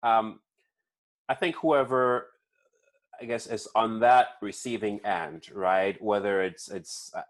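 Male host with a foreign accent and a lowish voice, loose and chatty, stumbling over repeated words. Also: fairly even intonation, slow pace. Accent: non-native English accent. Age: young kids, adult. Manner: frequent restarts and "right?" tags. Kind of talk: conversational interview.

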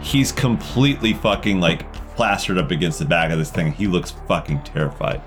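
Guy game master with a lowish voice, sweary improvised narration, music in the background. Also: moderate pace.

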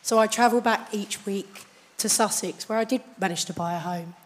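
Hoarse woman, audience questioner, conversational and measured. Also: medium pitch. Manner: chatty, anecdotal, measured. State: croaky, hoarse voice. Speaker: audience member asking the panel. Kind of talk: question from the floor at a conference.

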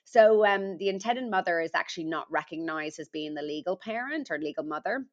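A woman's voice: lowish voice, expressive, fairly quick, highs cut off. A middle-aged woman with an Irish accent, a podcast guest explaining things carefully in a conversational interview, with frequent ums. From Ireland.

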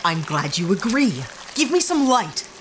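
An actress playing a sharp-tongued young woman lost in an alien forest, sarcastic and exasperated. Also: medium pitch, big pitch swings, a little slow. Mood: frantic, exasperated. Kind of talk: audio drama acting. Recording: forest ambience noise behind.

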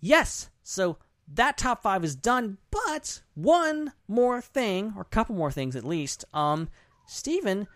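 A man's voice: high voice, very animated, slow pace, fairly bright tone, no room sound, clean studio sound. A man hosting a podcast in casual, enthusiastic chat, effusive and prone to repeating phrases for emphasis. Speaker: podcast host.

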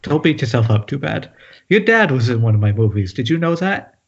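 Casting: man as erratic writer-director, raspy mid-range voice, deadpan comedic script read. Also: medium pitch, brisk. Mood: offhand, blunt. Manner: blunt, offhand, erratic. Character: blunt, erratic, drug-addled male horror writer turned director. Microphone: remote video-call microphone. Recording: muffled internet call.

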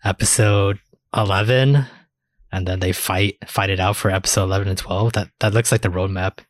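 Low-voiced man in casual chat. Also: fairly even intonation, normal speed, no echo, studio quality. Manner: colloquial, loosely phrased. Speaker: podcast co-host.

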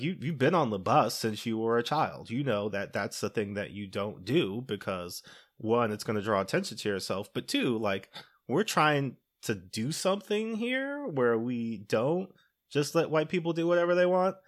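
A man's voice: mid-range voice, expressive, normal speed. Chatty man hosting a film podcast. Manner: conversational, explains at length with many fillers. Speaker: podcast host. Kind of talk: casual film discussion.

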